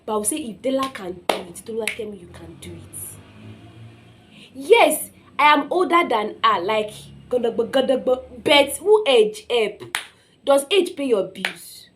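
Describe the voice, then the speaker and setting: A young woman with a Nigerian accent gives an informal motivational talk in a fairly high voice. She is emphatic and conversational, acting out quotes and checking that listeners follow. There is noticeable background noise in the recording. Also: lively intonation, unhurried. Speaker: motivational speaker.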